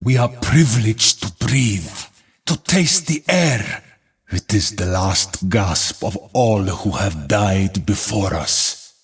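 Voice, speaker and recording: Battle-scarred game warrior: low, very husky, solemn, defiant, heroic declamation. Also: male voice, expressive, slow pace, exaggerated voice. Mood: solemn, reflective. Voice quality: husky, rough-edged. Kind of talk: heroic video game voice acting.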